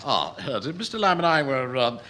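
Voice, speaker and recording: A man's voice: medium pitch, sing-song, normal speed, bright, forceful tone. A man playing a pompous, work-shy middle-aged civil servant, blustering and stammering with an English accent in a British radio sitcom.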